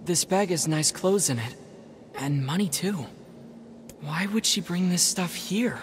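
A man's voice: high-pitched, a little slow, slight background noise. A young-sounding man playing an earnest, kind teenage boy adventurer in a video game, speaking sincerely and plainly. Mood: troubled. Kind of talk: naturalistic game voice acting.

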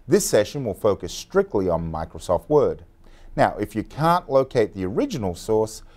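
A man in his thirties, a software trainer, narrating a scripted tutorial in a steady, instructional way. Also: on the low side.

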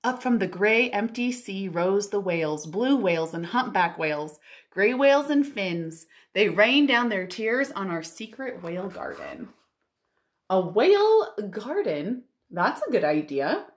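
A woman in her thirties, a children's librarian, reads a picture book aloud and chats to young listeners with expressive wonder and drawn-out emphasis. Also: medium pitch. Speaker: children's librarian hosting storytime. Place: living room at home, indoors.

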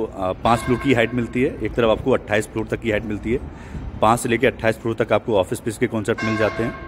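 A man with a voice at an ordinary pitch, walking outdoors through a building site while he pitches a property. He explains steadily and drops English terms into his sentences. A faint hum of traffic runs behind him. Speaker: real estate agent.

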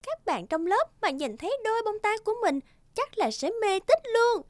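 A woman's voice: very high voice, big pitch swings, moderate pace, dry recording, clean studio sound. A woman voicing a sweet, sentimental little girl in a children's cartoon. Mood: delighted.

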